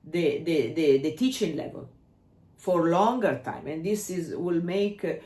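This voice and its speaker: A woman's voice: on the low side, measured pace, dark tone. A woman with an Italian accent, explaining as a dance coach in casual discussion, hesitant and searching for English words. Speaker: dance coach and podcast co-host. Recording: echoey room.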